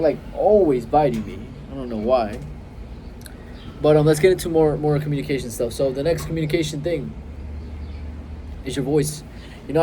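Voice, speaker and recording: A young man in his twenties giving confident, emphatic self-improvement advice straight to his phone outdoors, varying his pace and pauses. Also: mid-range voice, mellow, slight background noise. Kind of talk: direct-to-camera advice vlog. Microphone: phone held close.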